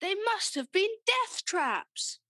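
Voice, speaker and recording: A woman's voice: extremely high pitch, big pitch swings, slow pace, pushed and bright, no room sound, studio quality, heavily acted. A woman playing a breezily smug, literal-minded co-presenter in a scripted radio comedy.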